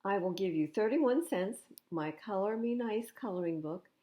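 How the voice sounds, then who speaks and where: A determined little girl from a children's storybook, voiced by a woman reading aloud. She is insistent and matter-of-fact, bargaining with a lively, wide-ranging pitch. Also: normal speed, close to an everyday voice.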